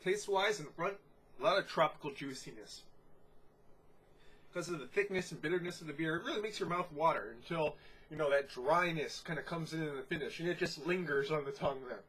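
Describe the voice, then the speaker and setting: A congested man giving a casual, descriptive beer tasting review, unhurried and full of "you know" fillers. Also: a little high, fairly bright tone, breathy edge, low-quality recording.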